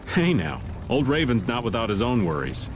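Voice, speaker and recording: A man's voice: medium pitch, wildly swooping pitch, moderate pace, noisy background. A laid-back, teasing older man. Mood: easygoing. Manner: easygoing, teasing drawl. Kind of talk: video game voice acting. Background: game music.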